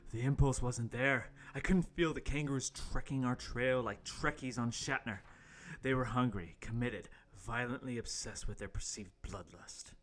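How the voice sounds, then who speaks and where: A man's voice: medium pitch, moderate pace, fairly bright tone, lightly acted. A man playing an earnest young intern, narrating an amateur radio play with overwrought seriousness. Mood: dramatic narration. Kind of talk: amateur radio-play table read, first-person narration.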